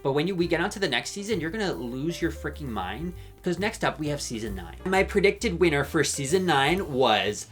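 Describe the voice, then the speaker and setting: A man's voice: fairly high pitch, sing-song, a little fast, few pauses, fairly bright tone, soft background music. A young man in his twenties with an Irish accent, chatting in a rambling, jokey, self-mocking way as he comments on a show for his video channel. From Ireland. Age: 20s. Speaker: YouTube commentator. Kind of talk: casual vlog commentary.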